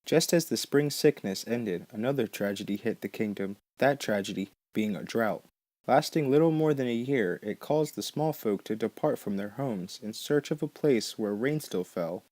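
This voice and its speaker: A man's voice: lowish voice, lively intonation, normal speed, a little mellow, dry recording. A man hosting a podcast, reading scripted narration. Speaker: podcast host.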